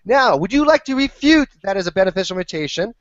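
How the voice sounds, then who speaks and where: A man with a fairly high voice hosts a heated live debate. He presses insistently for straight answers. The sound is close and dry but dull, like an online group call. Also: sing-song, fast talker, no room sound. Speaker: host moderating a debate panel.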